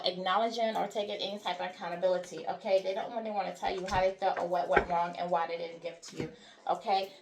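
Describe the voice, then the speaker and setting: A woman giving a spoken tarot reading to her viewers, repeating herself and checking in with "all right?" and "okay?", her voice going hoarse. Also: mid-range voice, a little hiss or hum. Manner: repetitive, reassuring with frequent "all right? okay?". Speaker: tarot card reader.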